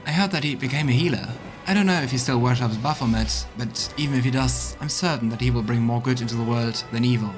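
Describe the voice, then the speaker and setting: A solemn gold dragon in a healer's guise , acted out by a man speaks in formal, measured phrases. The voice is middle-pitched and belongs to a fantasy game, with soft music underneath. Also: close to an everyday voice. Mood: gentle, approving. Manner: formal, measured storybook speech.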